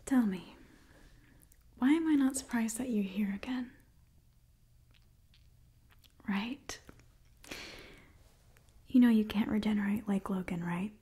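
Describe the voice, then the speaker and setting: A woman in her twenties playing a caring, gently scolding doctor in a whispered ASMR roleplay, soft and breathy. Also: medium pitch, measured pace, long pauses. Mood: wry, chiding. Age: 20s. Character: caring, chiding young female doctor to mutant heroes. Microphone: close microphone.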